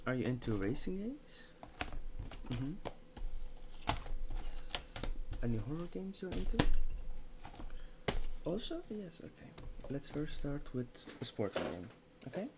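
A young man speaking softly in a hushed ASMR roleplay as a store clerk, with pauses between phrases. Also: medium pitch, sing-song, heavy background noise. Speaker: ASMR creator playing a game store clerk. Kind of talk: soft-spoken ASMR roleplay. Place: small room at a desk, indoors.

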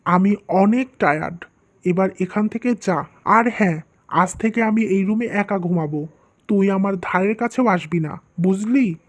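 A woman's voice: medium pitch, a little slow, natural voice. A woman voicing a stern, easily hurt young husband and son in a cartoon drama. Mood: stern, angry. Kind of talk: dubbed cartoon drama dialogue.

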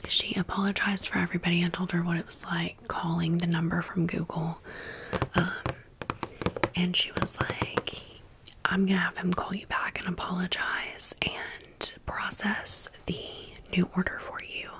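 A woman's voice: lowish voice, level tone, moderate pace. Whispering American woman, casual ASMR storyteller. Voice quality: breathy, unvoiced whisper. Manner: casual, sassy, slangy. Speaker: ASMR video host.

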